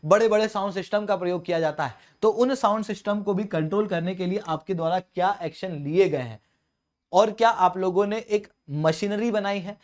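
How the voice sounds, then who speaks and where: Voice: mid-range voice, brisk. Explanatory Indian teacher giving a lecture. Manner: didactic, poses questions then answers them; mixes in many English terms. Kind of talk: explanatory exam-prep lecture.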